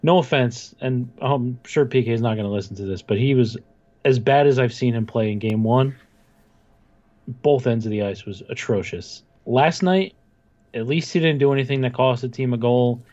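A man's voice: mid-range voice, moderate pace, darkish tone, highs cut off. A man co-hosting a sports podcast in a casual, opinionated fan style.

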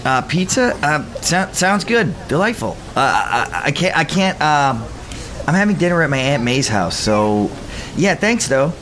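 An actor playing an earnest, awkward young college student in an audio drama, casual and hesitant in manner. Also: male voice, a little high, big pitch swings, unhurried, fairly bright tone, a little hiss or hum. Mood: awkward, flustered. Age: young adult.

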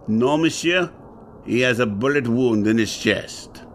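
A man's voice: medium pitch, unhurried, a little hiss or hum, close to an everyday voice. An actor playing a deferential French policeman, reporting formally in an audio drama. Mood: formal, dutiful.

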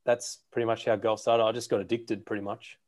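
A man's voice: on the low side, flat delivery, fairly quick, darkish tone. An Australian man chatting in a casual interview, easygoing and a bit hesitant. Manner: easygoing, hesitant, many fillers.

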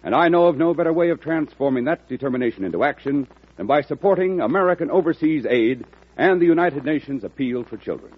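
A man's voice: a little high, expressive, fast talker, husky. A man reading a formal, earnest charity appeal as a radio announcer. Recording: tinny old radio broadcast.

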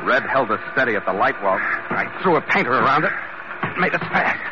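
A man's voice: medium pitch, very animated, a little fast, natural voice. An actor playing a tough, decisive sea captain in a classic radio drama, clipped and hard-boiled as he acts and narrates. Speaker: actor in the lead role. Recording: dull, noisy old radio recording.

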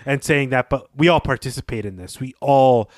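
A man's voice: medium pitch, normal speed, no echo, clean studio sound. A man hosting a podcast, discussing literature thoughtfully, with some fillers as he works out his points. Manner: thoughtful, wanders with fillers, then leads the topic. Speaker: podcast host.

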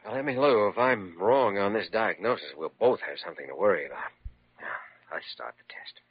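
An actor playing a calm, wry young American doctor in a radio drama, speaking in a dry, reassuring manner. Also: male voice, fairly low pitch, brisk. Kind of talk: vintage radio drama acting. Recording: muffled old radio broadcast.

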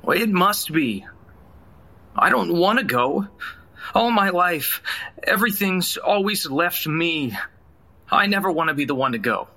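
Troubled young husband, tense bright voice, hesitant n evasive, audio drama. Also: male voice, high voice, animated, full character voice. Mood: troubled, pleading. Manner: halting, hesitant, searching for words. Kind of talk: naturalistic audio drama acting.

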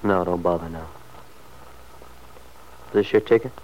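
An actor playing an earnest, apologetic married sergeant in an old radio soap, speaking in a hesitant, solicitous way. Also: male voice, deep voice, measured pace. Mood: concerned, gentle. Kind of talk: vintage radio soap opera acting. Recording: dull, noisy old radio recording.

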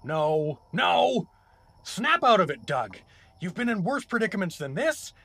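An actor as an exasperated, husky everyman hero. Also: high-pitched, sing-song, measured pace, a bit bright, exaggerated voice. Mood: self-pep-talk. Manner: indignant, blustering, incredulous. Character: exasperated everyman named Doug, reluctant hero trapped in fantasy world. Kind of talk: comedic fantasy audio drama acting.

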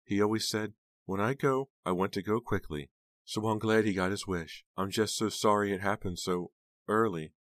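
A grieving older woman, played by a male narrator, frail and tearful. The voice is lifted high above the reader's own, and the aunt rambles and repeats herself in this audiobook story. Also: unhurried, dry recording, natural voice.

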